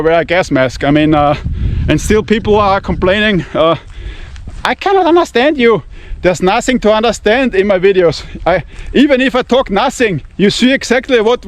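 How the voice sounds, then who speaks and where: A man with an Austrian German accent, a non-native English speaker, talks bluntly and profanely in broken English while walking through fields and vineyards. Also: high voice. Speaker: knife-testing channel host. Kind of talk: casual walking vlog. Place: outdoors among vineyards and fields.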